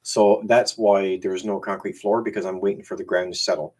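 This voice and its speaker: Man with a Newfoundland accent, somewhat low voice, hosting a casual live stream with stop-start delivery. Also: fairly even intonation, a little mellow. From Newfoundland, Canada. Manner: halting, repeats words and restarts. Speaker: YouTube channel host. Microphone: camera used as webcam.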